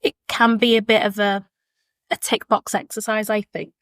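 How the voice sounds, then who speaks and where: Podcast guest: late-20s woman, mid-pitched, earnest and reflective, close dry recording. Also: flat delivery, moderate pace, fairly bright tone, dry recording. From born in Zimbabwe, raised in Leeds, England. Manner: earnest, reflective, repeats words while forming thoughts. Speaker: podcast guest, education consultant. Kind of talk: thoughtful conversational interview answers. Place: seated at a table with the hosts.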